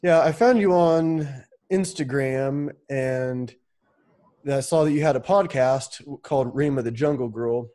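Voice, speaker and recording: A man's voice: mid-range voice, measured pace, warm tone. A man in his late thirties hosting a podcast, talking casually and hedging a lot with fillers. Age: 30s. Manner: rambling, hedging with "like" and "um". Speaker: podcast host. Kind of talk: casual interview conversation.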